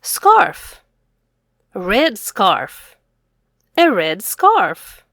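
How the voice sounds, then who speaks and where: A middle-aged woman reading aloud to children as an English teacher, enunciating each phrase clearly. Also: mid-range voice, wildly swooping pitch, drawn-out words, a bit bright, a little hiss or hum. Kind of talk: children's read-aloud lesson.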